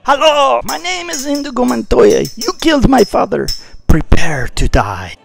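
A would-be avenging swordsman declares his grudge, as a man attempts a clumsy impression in a cartoon. The voice is high, lively and gravelly, and the accent slides around mid-sentence. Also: moderate pace, faint music behind, full character voice. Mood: vengeful. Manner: bad impression, shifting accent.

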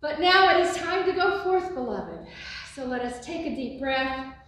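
An older woman pastor with a booming voice giving church announcements and a benediction, recorded by a distant phone in a large sanctuary. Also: moderate pace, a little hiss or hum. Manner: booming, expressive, gesturing widely. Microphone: phone on a tripod from afar. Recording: echoey sanctuary from far off.

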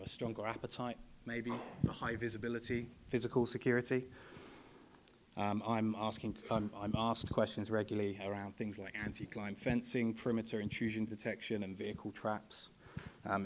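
A young man speaking from a podium at a conference panel, measured and full of ums and you-knows. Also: lowish voice, level tone, slight background noise. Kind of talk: presentation at a podium. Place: large conference hall. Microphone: podium microphone.

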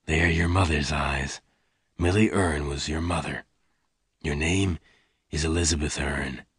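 A gruff, gentle gunman from a western storybook, acted out by a male reader. The voice is very deep and quiet, with a deliberate, solemn delivery. The sound is dry and close. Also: steady tone, no room sound, full character voice. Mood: gentle, grave. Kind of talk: dramatised audiobook dialogue.

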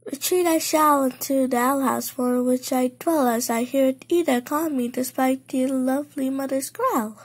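A woman voicing an excitable teenage girl in a comic dub, theatrical and gushing as if on stage. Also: sits high, moderate pace, few pauses. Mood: grandly dramatic. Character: excitable, dramatic teenage girl reciting romantic play lines.

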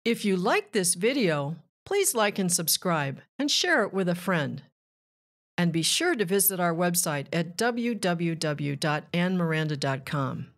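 A woman reading a rhyming children's book aloud with measured, deliberate phrasing. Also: lowish voice, very animated. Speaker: children's author and narrator.

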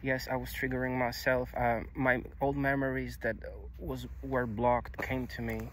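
A young man whose first language is Czech, speaking outdoors into a phone in a halting, confessional way, restarting words and swearing casually. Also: medium pitch, level tone, a little hiss or hum. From Czech Republic. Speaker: vlogger. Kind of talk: personal confessional monologue. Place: outdoors on a grassy hilltop. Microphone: phone camera held low.

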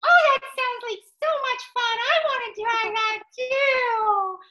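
A woman voicing a silly, excitable young flamingo puppet in a children's puppet show, childlike and gushing, with stammers and gasps. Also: very high-pitched, takes it slowly, exaggerated voice. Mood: delighted.

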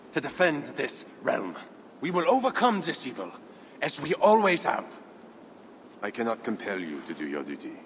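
A grave medieval lord speaking to his nobles, in a low, slow, deliberate voice. A long hall reverb hangs on every word. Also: a bit bright, slight background noise, full character voice. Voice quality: solemn, deliberate. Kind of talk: video game cutscene acting.

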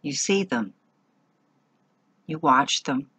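A woman leading a guided meditation in short, measured phrases with long pauses between them. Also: rumbling low, lively intonation, bright, forceful tone, husky.

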